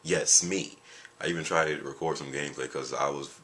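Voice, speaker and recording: Very deep-voiced, tired man rambling. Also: fairly even intonation, a little fast, fairly bright tone, a little hiss or hum. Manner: rambling and jokey, with many fillers and restarts. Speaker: gaming video creator. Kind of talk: casual vlog chat.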